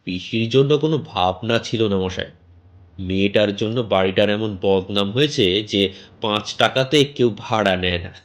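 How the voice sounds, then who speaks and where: পুরুষ কণ্ঠে অভিনীত বকবকে, ঘ্যানঘেনে মাঝবয়সী বাড়ি-বিক্রেতা. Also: male voice, lowish voice. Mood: complaining, wheedling. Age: middle-aged. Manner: colloquial, wheedling, complaining chatter. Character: balding middle-aged man, garrulous, callous, grumbling house seller. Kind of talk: dramatised audio story dialogue.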